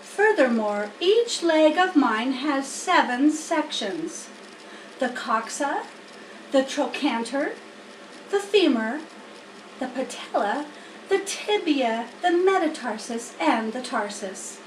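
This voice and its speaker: A woman's voice: high voice, very animated, slow pace, heavily acted. A woman voicing a wise, matter-of-fact female spider in a storybook, patiently instructive and a little wry. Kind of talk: storybook character voice. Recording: roomy, distant mic, faint noise.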